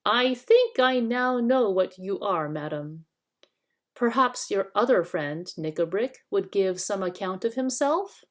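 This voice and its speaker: A woman voicing a learned male doctor with a formal, measured, politely probing manner in a storybook. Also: medium pitch, expressive, lightly acted. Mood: dryly polite.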